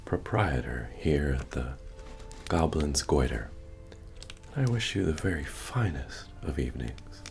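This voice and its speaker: An extremely low, creaky male voice acted by a man plays a genial fantasy tavern keeper. He murmurs softly and takes his time, with a wry, folksy humor, as in a cozy ASMR roleplay. Bard music plays behind him. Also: exaggerated voice. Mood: welcoming, wry. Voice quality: deep, gravelly, breathy murmur. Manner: unhurried, folksy, gently joking, hesitant asides. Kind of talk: soft-spoken ASMR roleplay. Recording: soft music playing behind the voice. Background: bard-style music.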